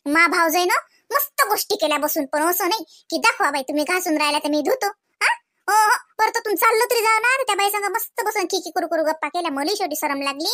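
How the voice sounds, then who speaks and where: Woman playing a very high-pitched gossipy village housewife. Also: expressive, fast, pushed and bright, no room sound, clean studio sound, heavily acted. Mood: gossipy, complaining. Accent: Varhadi Marathi. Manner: chatty, gossipy, colloquial. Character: gossipy, complaining village housewife in a comedy cartoon. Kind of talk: comic cartoon village dialogue.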